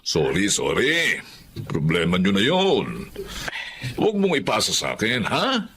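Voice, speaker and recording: An actor playing a greedy, mocking landlord who talks down to a poor widow in a sing-song, sneering way. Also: male voice, fairly low pitch, huge pitch swings, unhurried, a bit bright, a little hiss or hum, exaggerated voice. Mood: scornful. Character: greedy middle-aged male landlord, mocking. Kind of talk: radio-style drama acting.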